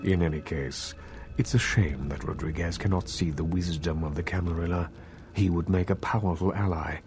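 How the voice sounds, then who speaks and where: An actor playing a courtly, guarded elder vampire in a video game, speaking in a formal, measured, condescending manner. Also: male voice, low-pitched, fairly even intonation, natural voice. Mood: dry disdain. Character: courtly, guarded elder male vampire clan leader, patronizing and calculating. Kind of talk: video game dialogue acting. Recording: faint background ambient noise.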